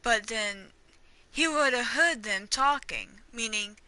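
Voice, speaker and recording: Female gamer, bright forward voice, unhurried, rambling casual commentary with hesitant trailing thoughts. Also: fairly high pitch, no echo. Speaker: gamer reacting and theorizing during a playthrough. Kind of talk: casual gameplay commentary, thinking aloud.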